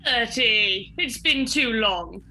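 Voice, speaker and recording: A woman's voice: fairly high pitch, lively intonation, slow pace, pushed and bright, a little hiss or hum, exaggerated voice. A woman playing a genial, gullible and pompous Tsar in a comic radio drama, declaiming grandly. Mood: jovial.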